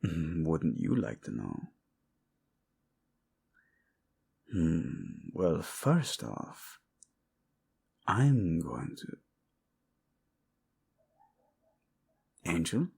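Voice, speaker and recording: A man's voice: low-pitched, very animated, very slow, vocal fry, a little mellow, husky, full character voice. A man acting a passionate, protective male royal in a romantic audio drama, devoted to his queen, intimate and commanding, using Spanish endearments. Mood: playful, flirtatious. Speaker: voice actor. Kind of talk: romantic audio roleplay drama addressed to the listener.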